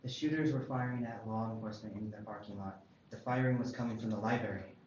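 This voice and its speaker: A man's voice: on the low side, fairly even intonation, fast talker, darkish tone, lightly acted. Man acting as a TV news reporter, very echoey. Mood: urgent reporting. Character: television news reporter covering a school emergency. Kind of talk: breaking news report read aloud at table read. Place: roomy indoor table read. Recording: echoey room, dull sound, clear noise.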